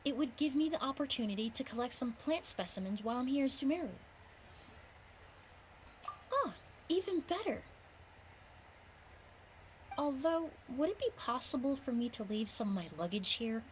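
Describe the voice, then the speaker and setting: Composed, high-voiced perfumer. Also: female voice, expressive, slight background noise. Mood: polite, reassuring. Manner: measured, formal, analytical. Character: composed, precise, well-mannered young woman perfumer. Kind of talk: video game voice acting.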